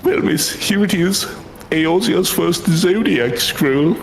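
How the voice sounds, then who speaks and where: Giddy evil-genius scroll maker for a fantasy game, super high and swoopy, smug and theatrical, music behind. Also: male voice, animated, slow pace, creaky, echoey room, exaggerated voice, rough recording. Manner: theatrical, gloating, florid archaic phrasing. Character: giddy, vain, scheming scroll-crafter, shrill and gloating.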